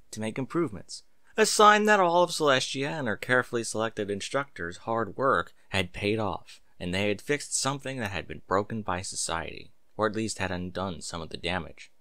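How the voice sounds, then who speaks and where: A man narrating a story aloud in an expressive, dramatic and emphatic way. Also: fairly low pitch, no room sound. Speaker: narrator reading fan fiction aloud.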